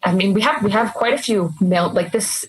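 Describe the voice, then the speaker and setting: A woman's voice: medium pitch, breathy edge, poor audio. A woman in her thirties, an emergency nurse, talking casually. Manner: chatty, quick asides with fillers like "like". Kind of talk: casual interview chat.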